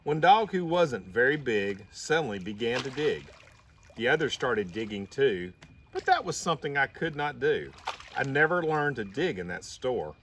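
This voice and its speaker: A man reading a rhyming children's story aloud in an expressive storybook style. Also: medium pitch, moderate pace. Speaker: storybook reader. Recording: slightly distant mic, faint background noise.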